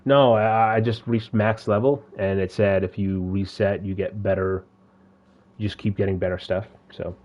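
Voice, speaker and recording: Casual male streamer, deeper voice, loose and giggly, chatting mid-game. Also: steady tone, moderate pace, dull audio. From India. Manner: laid-back, laughs often, repeats words. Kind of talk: casual gaming chat.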